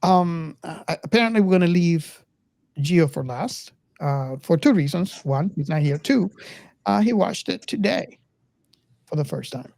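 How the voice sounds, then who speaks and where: A woman with a low, dark voice hosts a casual film discussion. She speaks haltingly, often repeating words, and the recording is dry and close. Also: animated, gravelly, no echo. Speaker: podcast host.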